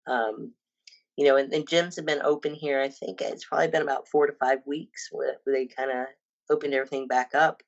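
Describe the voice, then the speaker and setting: A woman's voice: a bass voice, fairly even intonation, fairly quick, creaky, highs cut off. A woman in her fifties, a personal trainer and bodybuilder, talking casually as a podcast guest with many ums and restarts. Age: 50s.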